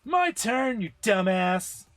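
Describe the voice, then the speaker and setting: A man voicing a brash, hot-tempered young woman fighter from a game story, with shouted, taunting, overdramatic delivery. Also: high voice, big pitch swings, takes it slowly, faint music behind, voice pushed up, exaggerated voice. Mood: furious.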